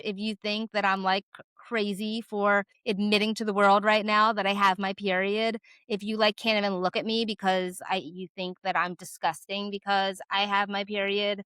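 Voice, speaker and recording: A woman in her twenties hosting her own vlog, talking casually and rambling with lots of filler words and sudden lifts in pitch for emphasis. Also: smooth, no echo. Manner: animated, jumps up in pitch for emphasis. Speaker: vlogger host. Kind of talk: casual talk to camera.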